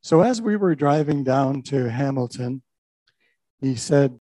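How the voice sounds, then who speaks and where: An older man, a pastor, recounts his own story in a warm, mellow voice. He talks reflectively, with small restarts along the way. The sound is close and dry. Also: medium pitch, lively intonation, a little slow, dry recording. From Canada. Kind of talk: personal testimony talk.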